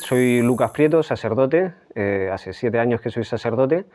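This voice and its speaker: A Chilean man in his thirties, a priest, recounting his vocation in a soft, halting way with many fillers. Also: medium pitch, expressive, a little hiss or hum. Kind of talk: reflective interview testimony.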